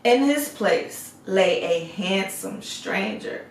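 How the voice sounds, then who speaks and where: A woman reading a fairy tale aloud as a storyteller, in a measured, expressive way. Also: mid-range voice, a little hiss or hum. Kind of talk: scripted fairy tale narration. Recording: echoey room, distant mic.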